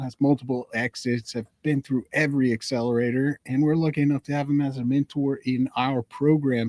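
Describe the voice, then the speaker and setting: A man's voice: mid-range voice, a little mellow, no room sound. A man hosting a podcast in a conversational way. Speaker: podcast host.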